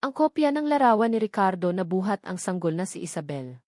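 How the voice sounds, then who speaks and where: Woman, story narrator, dramatic scripted reading, medium pitch, dry sound. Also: very animated, brisk, darkish tone, no echo.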